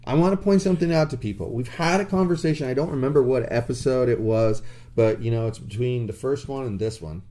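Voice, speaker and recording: A man co-hosting a podcast, telling a story in a blunt, animated way with crude jokes. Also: medium pitch, fast talker. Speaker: podcast co-host. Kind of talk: casual chat.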